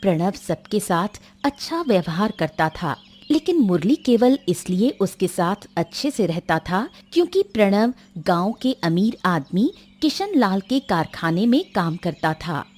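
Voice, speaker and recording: A woman's voice: mid-range voice, sing-song, a little slow, a little mellow. A woman narrator reading a scripted story in a storytelling style. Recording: music under the narration.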